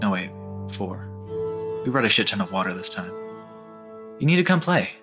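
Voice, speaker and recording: A man's voice: on the low side, very animated, moderate pace, music in the background. A man playing a friendly, easygoing, sporty teenage boy in an audio story. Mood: friendly, coaxing. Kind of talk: audio horror story dialogue acting.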